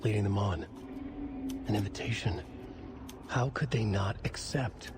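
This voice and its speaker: A brooding male storyteller, voiced by an actor narrates a noir game thriller in a low voice. He is deliberate and ominous, pausing for dramatic weight over clear background noise. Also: close to an everyday voice.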